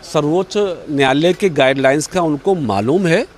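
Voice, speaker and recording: A man's voice: fairly high pitch, moderate pace. A man with a North Indian accent, a politician giving a spoken reaction to reporters.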